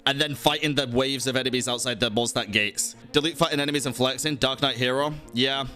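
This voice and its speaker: A man's voice: medium pitch, fairly even intonation, a little fast, pushed and bright, soft background music. A man streaming casual commentary to his chat, talking emphatically, repeating himself and swearing freely. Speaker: streamer and YouTube host.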